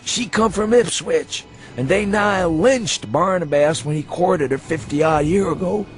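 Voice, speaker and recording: An actor playing a frightened, rambling old drunkard with a folksy rural New England accent in a radio horror reading. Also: male voice, a little slow, faint music behind. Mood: maudlin, confiding. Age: older. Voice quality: shrill when agitated. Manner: rambling folksy speech, badgering and insistent.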